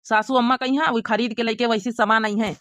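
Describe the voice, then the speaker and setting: Casting: woman as protective young husband in cartoon comedy, Awadhi accent, high bright voice, reassuring and reasonable. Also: fairly even intonation, fairly quick, dry recording, clean studio sound, lightly acted. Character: young married son, protective, generous and reassuring.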